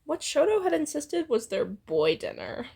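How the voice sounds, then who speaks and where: A woman's voice: high voice, big pitch swings, normal speed, gravelly, warm tone. A woman reads fan fiction aloud as a co-host, playfully narrating and readily breaking off to joke and giggle. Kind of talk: playful co-read story narration with banter.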